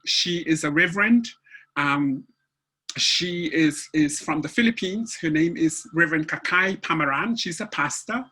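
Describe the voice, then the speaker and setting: Woman from samoa, moderator, husky voice, emotional, lots of ums, video call audio. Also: lowish voice. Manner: warm, emotional, many fillers. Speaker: panel moderator. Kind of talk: moderating an online seminar.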